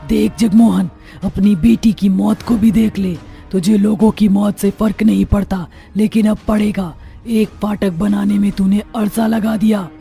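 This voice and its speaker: A woman voicing a fierce, vengeful witch mother in a cartoon horror story, scolding loudly and sternly. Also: mid-range voice, fairly even intonation, measured pace, gravelly, soft background music. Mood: menacing.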